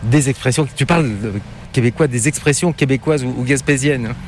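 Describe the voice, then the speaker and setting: French male interviewer, hesitant and curious, mid-pitched voice, car interior with road noise. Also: fairly quick. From France. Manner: hesitant, curious, many restarts. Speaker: interviewer, travel host. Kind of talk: casual interview.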